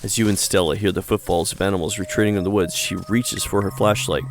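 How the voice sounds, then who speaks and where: A man's voice: deep voice, fairly even intonation, fast talker. A man co-hosting a game read-aloud, narrating a visual novel with dramatic storytelling and playful commentary. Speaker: co-host reading a game aloud. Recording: music and chirping night insects behind.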